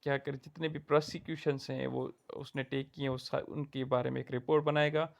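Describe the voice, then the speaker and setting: A man with a Pakistani Urdu accent explaining legal procedure point by point, pausing with fillers. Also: medium pitch, gravelly. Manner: steady, numbered points, frequent fillers. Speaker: law lecturer. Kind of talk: explanatory lecture.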